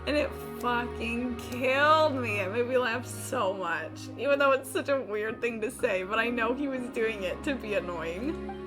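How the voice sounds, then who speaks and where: A woman's voice: a little high, normal speed. A young woman chatting casually on a gaming livestream, informal, rambling and sweary. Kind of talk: casual gaming stream chat. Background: game music.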